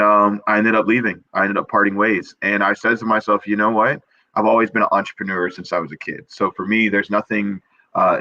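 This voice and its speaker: A man's voice: deep voice, sharp tone, no room sound, highs cut off. A young man, a perfumer guest on a video call, talking earnestly and eagerly, full of restarts and fillers. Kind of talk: casual interview chat.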